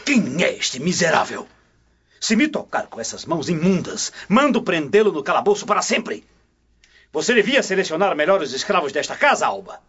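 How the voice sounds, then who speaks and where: An actor playing an arrogant, furious Roman prefect in a radio drama, husky, contemptuous and threatening. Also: male voice, fairly high pitch, very animated, moderate pace, fairly bright tone, full character voice. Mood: furious contempt. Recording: dull lo-fi audio.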